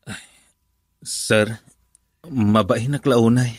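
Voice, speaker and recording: A man's voice: mid-range voice, steady tone, very slow, breathy edge, no echo. Humble Ilocano young workingman, dry sound. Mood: earnest, reassuring. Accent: Filipino, Ilocano. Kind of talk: radio drama acting.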